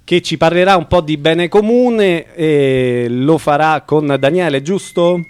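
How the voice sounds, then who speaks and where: Smooth-voiced male radio presenter. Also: a little high, measured pace, a little mellow. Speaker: radio host.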